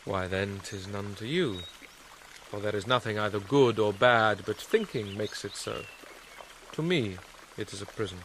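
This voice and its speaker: An actor playing a young prince feigning madness, sardonic, playful and bitter in a theatrical Shakespearean radio drama style. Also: male voice, fairly low pitch, normal speed, a bit bright, slight background noise, lightly acted. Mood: brooding.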